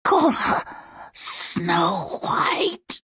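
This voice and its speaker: A woman's voice: fairly low pitch, very animated, very slow, a bit bright, slightly rough, no echo, full character voice. A woman playing a haughty fairy-tale queen in a video game, with a theatrical, demanding delivery. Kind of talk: video game character acting.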